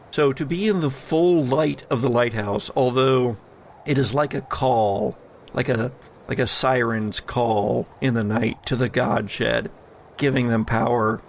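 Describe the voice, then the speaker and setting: A male game master narrates a tabletop horror game at a slow, measured pace. His voice has a marked creak and he leaves deliberate, dramatic pauses. There is faint noise behind him. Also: medium pitch.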